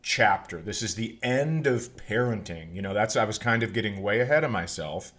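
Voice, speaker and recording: A man's voice: lowish voice, animated, normal speed, fairly bright tone. A middle-aged American man chatting casually and telling funny stories as a podcast host. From northern Minnesota, United States. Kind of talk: casual comedic chat and storytelling.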